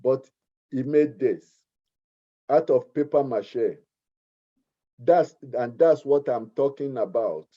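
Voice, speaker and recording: A man's voice: mid-range voice, mellow. A middle-aged man with a Nigerian accent, speaking earnestly at a community meeting and often repeating his words. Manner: earnest, repeats himself, deliberate. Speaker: community speaker, art teacher. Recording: muffled lo-fi recording.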